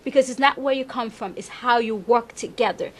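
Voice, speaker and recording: Enthusiastic Haitian-accented woman, high voice. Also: fairly quick. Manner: enthusiastic, repeats words when searching for them. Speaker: councilmember guest. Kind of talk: interview conversation.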